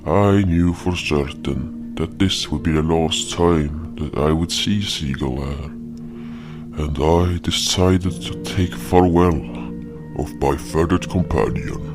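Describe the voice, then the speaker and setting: A man's voice: sits low, fairly even intonation, over music. A man playing a brooding, wounded beast hunter, narrating his own tale solemnly in a dramatic audio story. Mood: solemn, wistful. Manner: solemn, slow, deliberate delivery.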